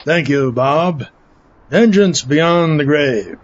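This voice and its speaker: A man as an earnest, higher-voiced spoof reporter. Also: expressive, takes it slowly, lo-fi sound. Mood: self-serious. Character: earnest, clueless mock TV field reporter. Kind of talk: parody news reporting.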